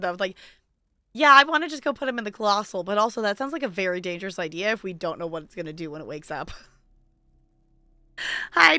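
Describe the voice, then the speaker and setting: A woman's voice: a little high, pushed and bright, clear, resonant tone, dry recording. A woman livestreaming a game with casual, playful commentary, chatting and thinking aloud as she plays. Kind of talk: casual live gaming commentary.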